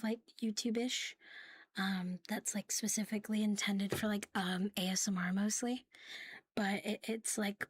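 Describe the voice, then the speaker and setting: A woman hosting a live ASMR stream, chatting casually and playfully in a soft, breathy voice that often slips into a whisper. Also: mid-range voice, no room sound. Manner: playful, giggly, teasing. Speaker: ASMR livestream host.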